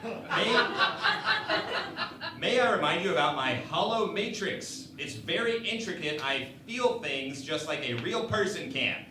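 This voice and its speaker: A man's voice: a little high, animated, a little slow, far from the mic. A man playing an earnest, put-upon holographic assistant in a comedic radio play, polite, hesitant and a little whiny. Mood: wounded, pleading. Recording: echoey room, off-mic, noisy.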